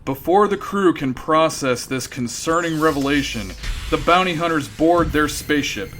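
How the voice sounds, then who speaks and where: A man's voice: fairly high pitch, animated, normal speed, a bit bright, slight background noise. Wry male radio comedy narrator. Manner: wry, dry.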